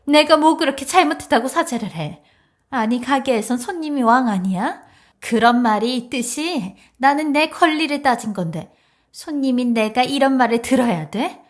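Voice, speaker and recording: A woman playing a brazen, indignant older mother-in-law in a dramatized story, arguing with haughty scorn. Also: high voice. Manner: scornful, argumentative.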